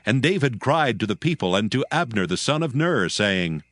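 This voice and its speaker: A man narrating a dramatized audio Bible in a solemn, measured storytelling style. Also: mid-range voice, big pitch swings, a bit bright, no echo.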